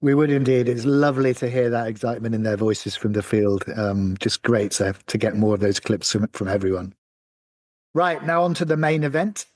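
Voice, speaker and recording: A man's voice: mid-range voice, dark tone. A man co-hosting a podcast over a video call, casually introducing his guests. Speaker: podcast co-host.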